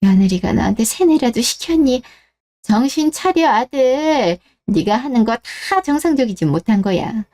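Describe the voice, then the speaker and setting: A woman's voice: fairly high pitch, lively intonation, moderate pace, warm tone, natural voice. A woman playing a scornful, possessive middle-aged mother-in-law in a scripted radio drama, scolding and accusing. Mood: furious, scornful.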